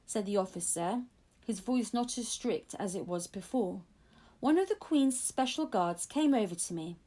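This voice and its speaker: A woman's voice: medium pitch, lively intonation, normal speed, dark tone. A woman reading a children's audiobook aloud, telling the story expressively in the first person. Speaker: audiobook reader.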